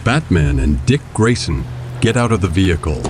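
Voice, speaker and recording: A man giving scripted dramatic narration as the narrator of an audio drama, with loud music behind him. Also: low-pitched, sing-song, normal speed, background noise.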